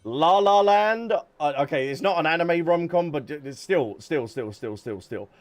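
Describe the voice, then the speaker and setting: A man's voice: on the high side, lively intonation, moderate pace. A man streaming a game, chatting casually and thinking aloud as he answers viewers. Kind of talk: casual livestream chat while gaming. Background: background music.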